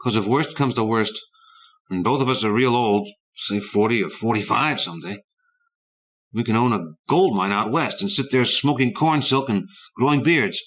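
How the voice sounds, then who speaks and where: A man's voice: moderate pace, fairly bright tone, low-quality recording. A man voicing an anguished young boy who cries out fiercely in a raised, strained voice. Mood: earnest. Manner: cries out in raw high anguish.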